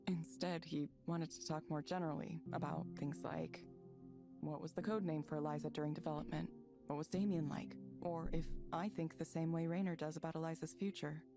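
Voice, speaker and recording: An actress playing a reserved, thoughtful software engineer, speaking in a measured, understated way in a visual novel game. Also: female voice, deep voice, fairly even intonation, creaky, music in the background. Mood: calm reflective. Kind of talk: naturalistic visual novel voice acting.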